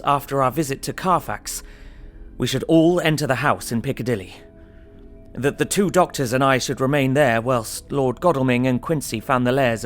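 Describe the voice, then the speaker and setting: A man's voice: medium pitch, close to an everyday voice. Earnest diarist over music played by a man. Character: earnest, anxious young English solicitor recounting horrors. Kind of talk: dramatic diary narration.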